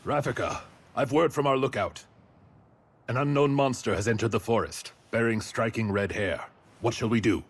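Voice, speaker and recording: A man's voice: medium pitch, moderate pace, slight background noise, natural voice. An actor playing a dutiful soldier messenger reporting to his chief in a video game. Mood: urgent, dutiful. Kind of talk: video game cutscene voice acting.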